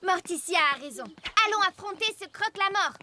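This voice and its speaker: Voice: extremely high pitch, expressive, fairly quick, heavy background noise. An actor voicing a caring, brave young girl in a children's cartoon, forthright and encouraging. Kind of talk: animated children's cartoon acting. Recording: loud music behind the voice.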